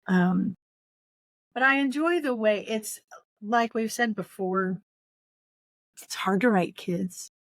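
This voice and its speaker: Woman podcast co-host, forties, casual book talk, halting and reflective delivery, close dry recording. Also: mid-range voice, no room sound.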